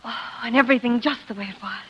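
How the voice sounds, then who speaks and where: A scheming wife from an old 40s radio play, highish voice, theatrical, muffled old recording. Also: female voice, fast talker, breathy edge. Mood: tender. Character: passionate, scheming wife, coaxing and anxious. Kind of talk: 1940s radio drama acting. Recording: dull vintage radio recording.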